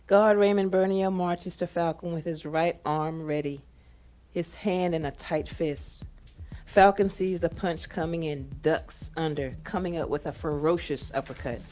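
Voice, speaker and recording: A woman narrates an audio drama, reading out scene directions. Her voice is low for a woman, with a scripted narrator's delivery. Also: steady tone, measured pace, darkish tone.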